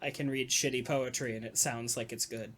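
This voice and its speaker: Deadpan woman hosting a casual chat. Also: extremely low pitch, a little fast. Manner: deadpan, sarcastic. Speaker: podcast host.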